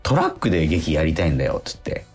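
A man's voice: rumbling low, big pitch swings, fast, a little mellow, breathy edge, soft background music. A man hosting a casual radio talk in a conversational way, often seeking agreement from his listener. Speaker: radio show host and stage actor. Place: remote recording.

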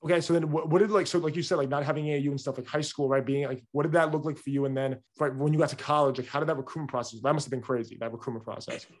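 A man's voice: on the high side, steady tone, racing, few pauses. A man hosting a casual interview podcast, asking eager, rambling questions full of fillers. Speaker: podcast host.

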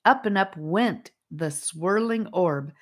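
A woman's voice: fairly low pitch, slow. A woman reading a children's fantasy adventure as an audiobook narrator.

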